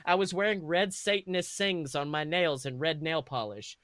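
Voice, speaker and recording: Male podcast host, bright dry sound, theatrical and amused mock-serious fanfiction narration. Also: fairly high pitch, moderate pace, no room sound. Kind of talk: mocking storytelling, reading fanfiction aloud.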